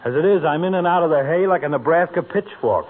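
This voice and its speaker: A man's voice: on the high side, fast, natural voice. A man playing a wisecracking doctor on crackly old radio. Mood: weary, grumbling. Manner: droll, wordy quips. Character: tired, wisecracking small-town male doctor, breezy and sardonic. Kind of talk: 1940s radio comedy acting. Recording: noisy, narrow-band old radio recording.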